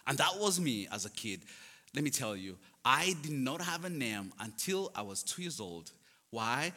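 A man with a Ugandan accent, speaking English as a later language, tells his story as a guest speaker. His voice is bright and forceful at a middle pitch, carried by long hall reverb. He uses a storyteller's delivery with self-deprecating humour. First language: not English; English is his fourth language. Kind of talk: personal testimony to a congregation.

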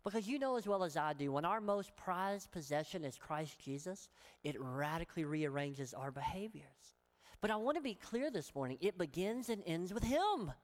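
A woman pastor with a Southern accent, preaching a sermon in an earnest, emphatic way and asking many rhetorical questions. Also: mid-range voice. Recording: long hall reverb.

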